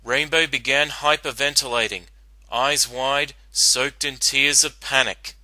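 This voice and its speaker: Very bright-voiced male story narrator. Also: mid-range voice, steady tone, unhurried, dry recording, rough recording. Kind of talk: dramatic scripted story narration.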